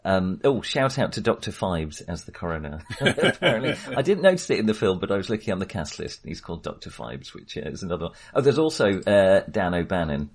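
A man in middle age with a lowish voice and highly animated intonation. He talks casually as a podcast co-host, thinking aloud and often doubling back on his phrasing. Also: brisk, hardly any pauses, breathy edge. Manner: hesitant, thoughtful, circling back on phrases. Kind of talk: casual film discussion.

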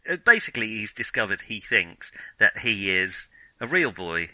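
A man's voice: on the low side, sing-song, moderate pace, sharp tone, no room sound, poor audio. A man co-hosting a casual film discussion, giving opinions hesitantly as he thinks them through. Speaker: film podcast co-host.

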